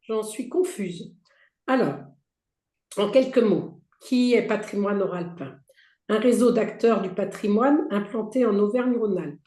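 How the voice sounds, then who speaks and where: Hoarse woman presenting slides. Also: medium pitch, unhurried, dark tone. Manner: reads out slide points with frequent fillers and restarts. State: hoarse, losing her voice. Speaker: presenter for a heritage federation. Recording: roomy echo.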